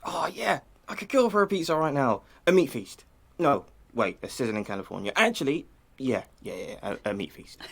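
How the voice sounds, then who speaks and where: An actor playing a casual, caring English teenage son in a naturalistic audio drama. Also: male voice, mid-range voice, normal speed, vocal fry, full character voice. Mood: eager. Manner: casual, teasing, animated.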